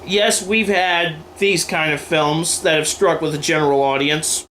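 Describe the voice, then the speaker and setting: A man's voice: fairly high pitch, steady tone, unhurried, sharp tone, a little hiss or hum, rough recording. A man delivering opinion commentary to camera in an emphatic, wordy, informal way, like a host going through a list. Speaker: video host and aspiring filmmaker.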